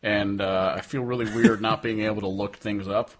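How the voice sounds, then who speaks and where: A man's voice: fairly low pitch, fairly even intonation, normal speed. A man co-hosting a podcast, talking casually about music in a rambling, emphatic way with plenty of swearing. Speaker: podcast co-host. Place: indoors, remote call between hosts.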